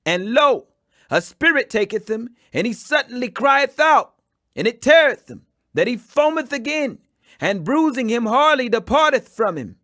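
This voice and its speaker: A man reading scripture aloud, emphatic and rising in pitch on quoted speech. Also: sing-song, normal speed, sharp tone, dry recording, studio quality. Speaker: Bible reader.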